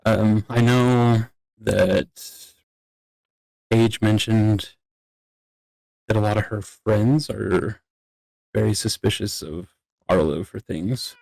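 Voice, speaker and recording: A man's voice: on the low side, slow pace, creaky, pauses often, a little mellow, no room sound, rough recording. A man playing a casual, wryly teasing young courtier with a hesitant delivery in an improvised Minecraft roleplay. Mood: hesitant, explaining.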